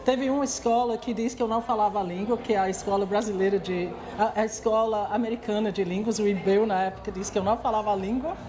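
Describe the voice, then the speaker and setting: A woman's voice: medium pitch, steady tone, fast talker, hardly any pauses, a crowd in the background. A woman in her sixties from England with an English accent, speaking Portuguese as a second language, answering interview questions with many hesitations and restarts. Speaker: guest executive.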